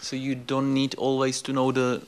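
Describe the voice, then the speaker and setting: A man's voice: mid-range voice, monotone, moderate pace, clean tone. A man, a meditation student, asking a question hesitantly with many fillers.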